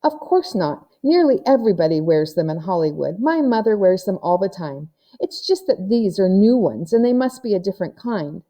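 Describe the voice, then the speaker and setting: A woman's voice: medium pitch, sing-song, warm tone. A woman voicing a haughty, imaginative young girl who puts on airs in a storybook. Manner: scornful, affected, quick to chime in.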